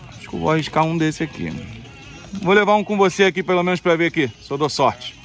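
A man's voice: fairly high pitch, quick pace, fairly bright tone, soft background music. A man, chatting to viewers in a casual, slangy way while filming himself bargain hunting outdoors on a handheld phone. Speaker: vlogger, game hunter. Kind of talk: casual vlog at a street market. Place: outdoor street flea market. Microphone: handheld phone camera. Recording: crowd noise from a busy street market. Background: market crowd chatter.

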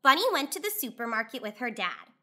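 A woman in her thirties hosting a children's read-aloud, narrating expressively with clear, deliberate delivery. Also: on the high side, very animated, sharp tone.

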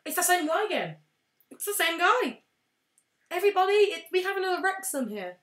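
A young woman casually commentating a game, excitable and prone to shouting at wins and losses. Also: extremely high pitch, a little fast. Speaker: let's play host. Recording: roomy echo.

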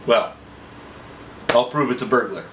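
A man's voice: mid-range voice, measured pace, lightly acted. A man voicing a dry, stubborn husband in a comic story, wry and matter-of-fact. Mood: grudging. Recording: roomy echo, faint background noise.

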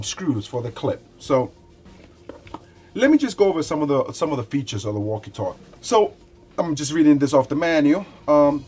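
A man in his forties giving a casual, unscripted product review in a conversational, halting way, often repeating words as he goes. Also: medium pitch, darkish tone. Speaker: product reviewer, show host. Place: small indoor room with shelves.

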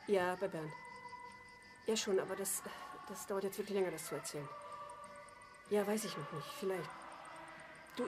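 A woman's voice: mid-range voice, lightly acted. Weary, curt mother played by an actress. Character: harried, short-tempered mother worn thin. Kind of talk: German radio play acting.

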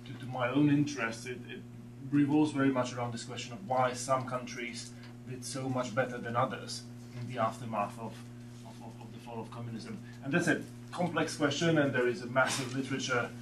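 A middle-aged man from Slovakia with a Slovak accent, giving an academic lecture in a seminar room and often repeating words as he searches for the next phrase. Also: mid-range voice. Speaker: guest lecturer. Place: seminar room with a long table. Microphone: far room camera. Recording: echoey room from far off, background hum.

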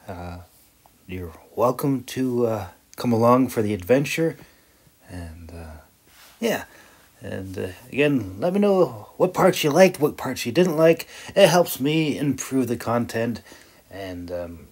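A man with a mid-range voice, working as an audiobook narrator. He speaks at a slow pace, breaking his sentences into short, deliberate phrases with pauses between them. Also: medium pitch, a little mellow. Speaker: audiobook narrator and author-creator. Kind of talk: scripted story narration, then casual thanks to listeners.

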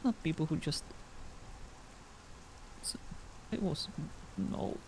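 A woman's voice: low-pitched, takes it slowly, a little mellow, a little hiss or hum. A woman voicing an awkward, self-doubting, easily embarrassed storyteller in a fantasy game roleplay, hesitant and self-deprecating. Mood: sheepish.

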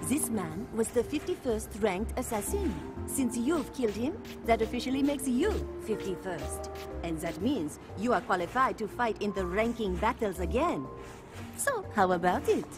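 Sultry manipulator for a video game: coy, smug, highish lilting voice over clear background music. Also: female voice, big pitch swings, moderate pace. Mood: coaxing, smug. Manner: coy, condescending, seductive. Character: sultry, teasing, manipulative woman, assassin contest agent. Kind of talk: dramatic video game acting.

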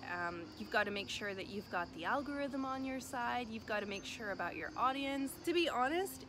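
A woman's voice: medium pitch, background noise. A thirty-year-old American woman chatting casually to camera as she answers viewer questions, informal and rambling with emphatic repetition. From United States. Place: outdoor rooftop balcony above a city.

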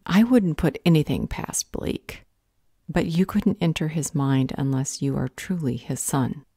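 Woman playing a low, mellow motherly vampire healer. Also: measured pace, husky, no room sound, studio quality, heavily acted. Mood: matter-of-fact. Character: protective motherly older female vampire healer, calm, caring mentor. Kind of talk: audio roleplay acting.

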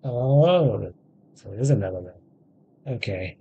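Slow, creaky-voiced man commenting on gameplay. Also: lowish voice, lively intonation, warm tone, highs cut off. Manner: halting, thinking aloud, spelling out what he types. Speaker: gaming video host. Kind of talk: casual let's-play commentary. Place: indoors at a computer. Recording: faint background noise, game ambience.

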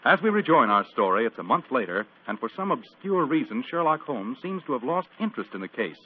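A man's voice: medium pitch, very animated, fairly quick, a little hiss or hum. A man announcing on 1940s radio, reading scripted sponsor copy and story narration. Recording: muffled, tinny vintage radio broadcast.

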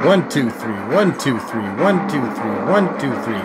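Excited guy in his 40s chatting thru an album review, voice jumps around a lot, music playing behind. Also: medium pitch, measured pace. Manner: enthusiastic, thinking aloud. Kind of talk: casual unboxing and music review chat. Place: small indoor room full of collectibles. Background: rock music from a record player.

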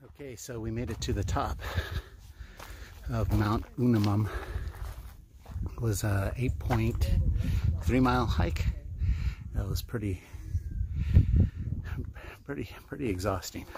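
A man's voice: on the low side, slow pace, long pauses, heavy background noise. A middle-aged man vlogging a hike outdoors, chatty and tired, with many fillers, filmed on a phone at arm's length. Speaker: hiking vlogger. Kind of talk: casual hiking vlog. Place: outdoors on a mountain trail. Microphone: handheld phone camera at arm's length.

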